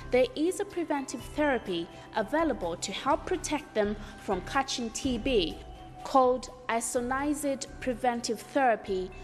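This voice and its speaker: A woman reading scripted health education narration for a documentary in a measured, formal way. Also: a little high. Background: music.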